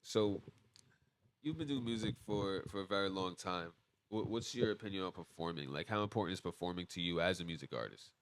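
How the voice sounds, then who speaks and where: A young man co-hosts a podcast and asks his guest long, wandering questions in a relaxed, easygoing tone. His voice sits fairly low. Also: a little fast. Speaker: podcast co-host. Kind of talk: casual podcast interview.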